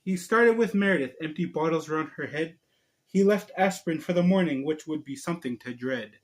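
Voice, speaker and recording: A man's voice: a little high, lively intonation, brisk. A man hosting a homemade video, chatting casually and reading a storybook aloud in an informal, slightly stumbling way. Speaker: online video host and storybook reader. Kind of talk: casual vlog talk and amateur read-aloud.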